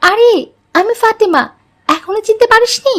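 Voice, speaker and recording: A woman's voice: very high voice, normal speed. A woman voicing a headstrong young college girl in a moral cartoon story. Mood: friendly, earnest. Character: headstrong college girl Fatema, later remorseful.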